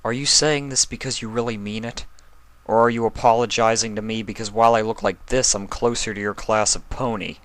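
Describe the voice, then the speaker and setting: A man's voice: lowish voice, fairly even intonation, fairly quick, a bit bright, dry recording, voice pushed up, natural voice. A man voicing a hurt young male pony in a story reading, earnest and emphatic. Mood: accusing.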